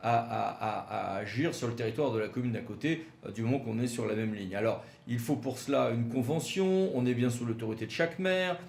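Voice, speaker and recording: A man's voice: medium pitch, brisk, vocal fry, few pauses, darkish tone. A man gives an explanatory legal lecture with slides, in a didactic manner with emphatic signposting and frequent hesitations. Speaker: legal expert presenting. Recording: roomy echo with faint background noise.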